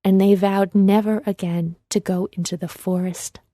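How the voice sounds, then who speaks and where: A woman narrating a children's storybook in a measured read-aloud manner. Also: medium pitch, little pitch movement, dark tone, no room sound, studio quality.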